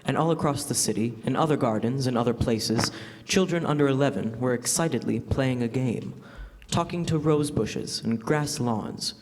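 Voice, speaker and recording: Narrator: man, medium pitch, scripted classic radio storytelling, live stage with long hall echo. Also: moderate pace, a little mellow.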